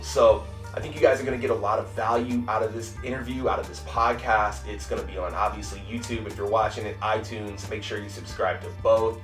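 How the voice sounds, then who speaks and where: A man's voice: fairly low pitch, a bit bright, roomy sound, recorded from a distance. A man hosting a podcast in upbeat, casual chat, often calling his guest "man". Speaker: podcast host.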